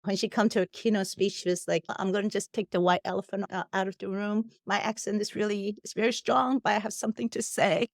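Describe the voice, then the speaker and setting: A woman's voice: medium pitch, steady tone, fast, hardly any pauses, no echo, clean studio sound. A woman with a non-native English accent, a company founder speaking frankly and laughing easily as a podcast guest. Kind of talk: casual podcast conversation.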